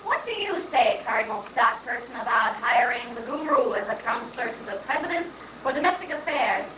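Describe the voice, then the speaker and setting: A woman playing a high, animated, flustered official. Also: fairly quick, natural voice. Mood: officious. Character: flustered government official chairing a meeting, officious and hesitant. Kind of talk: dramatic reading of a satirical play. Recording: echoey noisy live recording, distant microphone.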